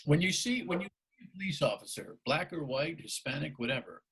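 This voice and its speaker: A man's voice: on the high side, sing-song, brisk, vocal fry, fairly bright tone, husky. A man hosting a radio talk show, bluntly challenging his guest and often restarting his sentences. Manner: restarts and stumbles over words.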